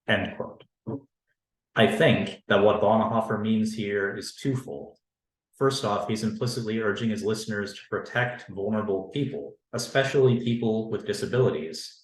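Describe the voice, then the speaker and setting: A man from Canada with a low voice, a theologian giving a measured prepared talk on a panel. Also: roomy sound, rough recording. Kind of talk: prepared lecture.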